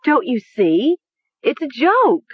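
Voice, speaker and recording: A woman narrator plays a frantic young woman who refuses to accept what she sees. The voice is high and shrill, leaping about as she insists and pleads. It is an audiobook performance with a dry, close sound. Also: wildly swooping pitch, unhurried, slightly rough, no echo, muffled recording, heavily acted. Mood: giddy, disbelieving. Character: hysterical young woman giggling in denial, turning frantic. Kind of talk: audiobook character acting.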